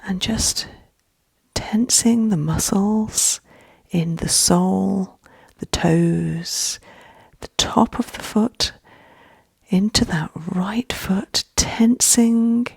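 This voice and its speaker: A woman with a gentle, hushed, breathy voice leading a soft-spoken ASMR guided relaxation, soothing and often close to a whisper. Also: medium pitch, extremely slow, no echo.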